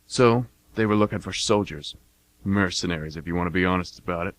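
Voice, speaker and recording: An actor playing a blunt, cocky American mercenary war veteran, talking in a folksy, plain-spoken way in a radio play monologue. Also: male voice, low-pitched, fairly quick, no room sound, close to an everyday voice. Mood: matter-of-fact, boastful.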